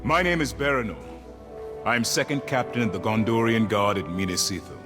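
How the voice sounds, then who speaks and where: A man's voice: lowish voice, a little fast, lightly acted. A man playing an earnest, formal human soldier who is a guard captain, in a video game cutscene. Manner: earnest, formal, puzzled questions. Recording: cutscene with music behind.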